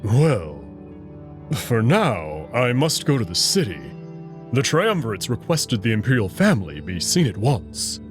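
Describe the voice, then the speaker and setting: Man plays big gentle male wolf, heir to an alien throne. Slow, reassuring delivery, lively pitch, music underneath. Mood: calm, measured. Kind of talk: visual novel character dialogue. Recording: music behind the voice.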